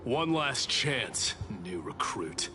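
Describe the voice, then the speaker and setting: An actor playing a proud, menacing warrior prince in a fantasy game, haughty and threatening. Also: male voice, medium pitch, very animated, slow pace. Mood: cold, menacing. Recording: music behind the voice.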